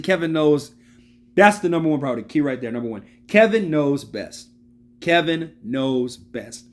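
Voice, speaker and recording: A man in his early thirties giving casual, slangy football analysis, repeating his points for emphasis and calling out to viewers. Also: mid-range voice. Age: 30s. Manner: animated, repeats phrases for emphasis. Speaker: sports video host.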